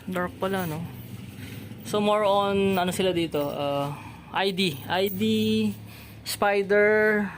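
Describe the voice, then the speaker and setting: A man's voice: high-pitched, slow pace. A man with a Filipino accent gives a casual shop tour as a vlogger, often echoing what he is told. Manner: repeats and echoes what he is told. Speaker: motorcycle vlogger and host. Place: motorcycle accessory shop. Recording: noisy location sound with traffic ambience.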